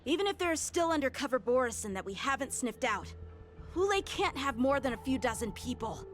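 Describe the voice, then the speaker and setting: An anxious, earnest young officer in a video game. Her voice is a bit high, with music clearly behind it. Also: animated, a little fast. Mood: alarmed, brisk. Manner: earnest, questioning.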